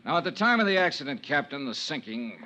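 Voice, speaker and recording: A man's voice: on the high side, very animated, quick pace, very noisy. A man playing a tough, dogged insurance investigator in a 1950s radio drama, firm, clipped and probing, in dialogue and first-person narration.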